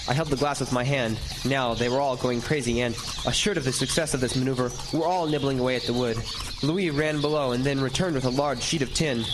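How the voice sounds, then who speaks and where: Man playing intense narrator amid loud noise. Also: medium pitch, lightly acted. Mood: tense. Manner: dramatic, halting, intense storytelling. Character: haunted lighthouse keeper recounting a horrifying ordeal. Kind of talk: old-time radio horror drama narration. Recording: live stage with loud animal sound effects.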